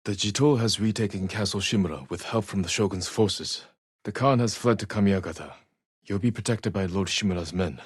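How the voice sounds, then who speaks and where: A man playing a stoic, honorable samurai warrior in a video game, husky and measured, with terse, solemn delivery. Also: lowish voice, dry recording, clean studio sound. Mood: calm, composed. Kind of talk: video game dramatic voice acting.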